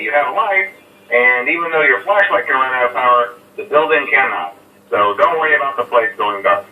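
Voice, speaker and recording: A man's voice: mid-range voice, a little hiss or hum, close to an everyday voice. Guy doing a nervous phone guy leaving a message, lots of uhs, tinny phone line, kinda far off and echoey. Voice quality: rambling with frequent "uh" fillers, nervous chuckles. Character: chatty, nervous male company phone messenger, middle-aged. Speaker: phone guy giving night guard instructions. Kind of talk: recorded phone message in a horror game.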